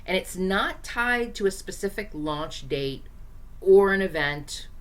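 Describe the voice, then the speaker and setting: A hoarse woman coaching small business owners in a casual, chatty teaching style, speaking directly to her viewers with frequent fillers. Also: medium pitch, lively intonation, slow.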